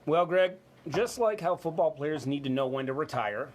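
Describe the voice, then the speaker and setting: A man's voice: fairly high pitch, expressive, normal speed, a little hiss or hum. A man co-hosting a business discussion, moving between scripted points and informal, conversational remarks. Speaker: co-host of a business talk show.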